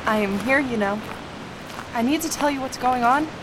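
A woman's voice: a little high, normal speed, noisy background, natural voice. A woman playing an impatient young woman in an amateur radio drama, speaking urgently and insistently. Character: young, impatient female daughter.

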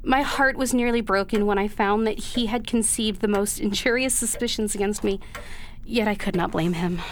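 A woman's voice: medium pitch, a little hiss or hum, close to an everyday voice. Woman acting an earnest, anguished young daughter. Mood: distraught. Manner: earnest, formal old-fashioned phrasing, halting with emotion. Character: dutiful, anguished young daughter confessing a long deceit. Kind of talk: period radio drama acting.

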